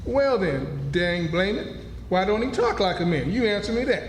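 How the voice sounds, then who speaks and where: A man's voice: high voice, lively intonation, normal speed. A man playing a good-hearted, stubborn runaway slave in a radio play, talking in homespun rural dialect. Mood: stubborn, indignant. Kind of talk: stage radio drama acting. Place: live stage in a hall. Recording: echoey hall, music behind.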